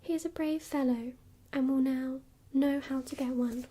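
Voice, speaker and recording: A woman's voice: high-pitched, steady tone, moderate pace, dark tone, slight background noise, lightly acted. A woman whispering the lines of a mischievous young boy, cheeky and blunt.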